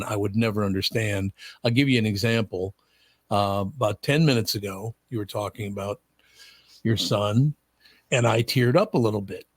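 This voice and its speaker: An older man hosting a radio talk show, emotional and tearing up, his voice going higher when moved. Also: dry recording. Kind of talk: casual radio chat.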